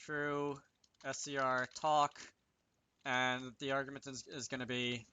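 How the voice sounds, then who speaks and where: A man's voice: mid-range voice, little pitch movement, a little slow, sharp tone, clear, resonant tone. A man giving a casual coding tutorial, talking to himself as he works, with wry little asides. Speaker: video creator demonstrating game programming.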